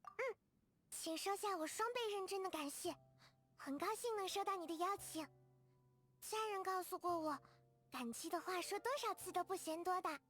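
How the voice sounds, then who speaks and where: A woman's voice: extremely high pitch, moderate pace, a bit bright. A woman voicing a sweet, shy, grateful young girl adventurer in a video game, with a polite and earnest manner. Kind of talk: video game character voice acting.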